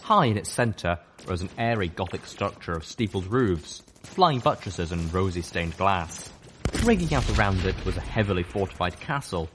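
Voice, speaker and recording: Deep-voiced man reading a story. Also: a little hiss or hum. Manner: steady, measured reading. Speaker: audiobook reader. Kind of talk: first-person fiction narration. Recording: music playing underneath.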